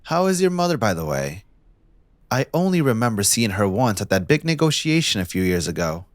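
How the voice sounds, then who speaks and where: Low, condescending young mafia heir acted by a man. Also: sing-song, fairly quick, no echo, studio quality. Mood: feigned courtesy. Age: young adult. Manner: condescending, smoothly taunting, theatrically polite. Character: possessive, manipulative young male mafia heir, mocking and menacing. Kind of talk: scripted ASMR audio roleplay addressed to the listener.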